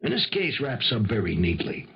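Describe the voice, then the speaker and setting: An actor playing a world-weary police lieutenant in a 1970s radio drama, casual, hesitant and slyly probing, with a husky voice. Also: male voice, medium pitch, big pitch swings, moderate pace, a little hiss or hum, natural voice. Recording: muffled vintage 1970s radio recording.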